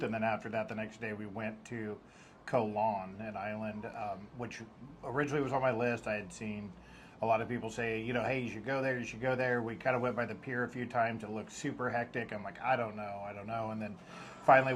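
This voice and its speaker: Man, mid pitch, laid-back joking storyteller, faint ambient hum. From American Midwest. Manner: joking, relaxed anecdotes with acted quotes. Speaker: travel vlog co-host. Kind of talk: casual travel vlog storytelling.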